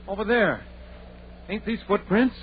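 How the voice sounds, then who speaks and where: An actor playing a frightened, reluctant country fellow who stammers, in a 1930s radio horror play on a noisy old recording. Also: male voice, high-pitched, unhurried, lo-fi sound. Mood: alarmed. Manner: fearful, stammering, folksy. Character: frightened, superstitious, reluctant rustic male companion.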